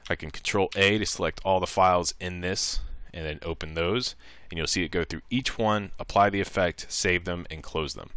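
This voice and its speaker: A man presenting a casual software tutorial, talking informally through each step and thinking aloud. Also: low-pitched, moderate pace, few pauses, smooth, no room sound.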